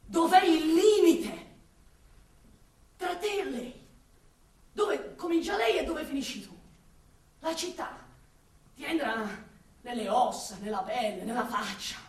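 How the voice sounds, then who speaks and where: An actress playing an impassioned woman torn between love and exasperation for her city, in a declamatory radio monologue with drawn-out, repeated words. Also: sits high, animated, darkish tone. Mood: probing, intense. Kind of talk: dramatic theatrical radio monologue. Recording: roomy echo, distant from the mic.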